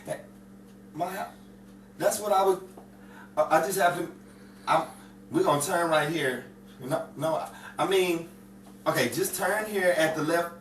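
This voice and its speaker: A man's voice: on the high side, unhurried, long pauses, a bit bright. A man playing a flustered, defensive driver in an old-time radio comedy, stammering and repeating himself. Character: excitable, defensive, bumbling man driving a cramped car. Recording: roomy, distant, clearly noisy.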